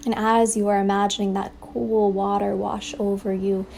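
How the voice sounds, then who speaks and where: Woman, meditation teacher, soft breathy delivery, even soothing tone, long pauses, faint waves behind. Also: mid-range voice. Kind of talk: guided meditation. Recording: faint ambient wave noise behind voice. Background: ocean waves sound effect.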